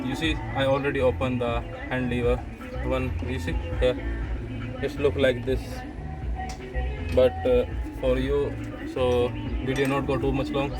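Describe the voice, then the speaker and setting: A man's voice: mid-range voice, poor audio. A man with an Indian accent and non-native English gives a casual hands-on repair tutorial in simple, repetitive phrasing. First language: Punjabi or Hindi. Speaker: repair channel host, machinery mechanic. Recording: music playing behind the voice.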